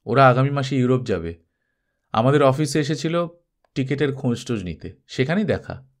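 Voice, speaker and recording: A loyal, slightly wounded man confides in a friend in an audiobook story, voiced by a male reader. He is earnest and dry, with lively intonation. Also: medium pitch, natural voice.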